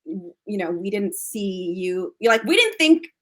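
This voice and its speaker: An American actress talking casually as an interview guest, chatty and full of restarts and fillers. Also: female voice, medium pitch, big pitch swings, moderate pace, poor audio. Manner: chatty, frequent fillers and restarts, laughs often.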